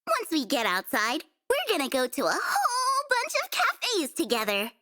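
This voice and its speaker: Excitable game schoolgirl: bright, high, wildly swooping, friendly and bubbly. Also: normal speed, exaggerated voice. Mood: bubbly, excited. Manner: bubbly, exclaiming. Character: excitable teenage girl student, eager and friendly. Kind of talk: anime game dialogue.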